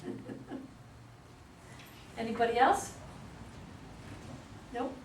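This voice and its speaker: A woman's voice: fairly high pitch, animated, extremely slow. A woman leading a casual feedback discussion. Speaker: discussion leader. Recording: very noisy echoey room, distant mic.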